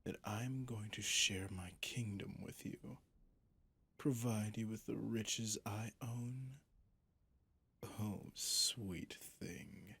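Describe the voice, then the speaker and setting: Ancient vampire captor, male voice actor, ASMR audio drama. Very unhurried, creaky, whispery delivery; sadistic, mocking, theatrical. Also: fairly low pitch, sharp tone, full character voice. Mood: condescending. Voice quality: breathy, gravelly. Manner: slow, theatrical, condescending, often dropping to a whisper.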